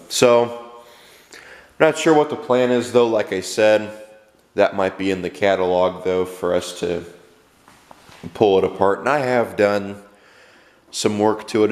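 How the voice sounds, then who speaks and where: A man's voice: sits low, long reverb tail. A man with a stuffy cold, rambling casually to camera about his lawn tractors and restarting his sentences as he goes. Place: workshop.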